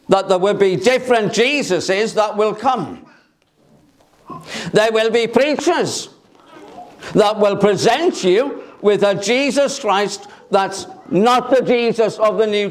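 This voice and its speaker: A man preaching a sermon in a church through a microphone, speaking loudly and emphatically and asking rhetorical questions. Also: sits high, slight background noise. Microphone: amplified by a microphone.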